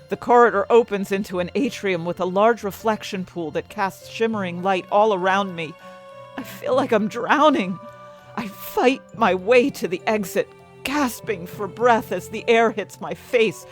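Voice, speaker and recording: A woman's voice: medium pitch, natural voice. A woman acting an anxious, desperate young heroine who tells her own fantasy story in intense, dramatic first-person narration. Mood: panicked. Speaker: narrator and main character of an audio fiction story.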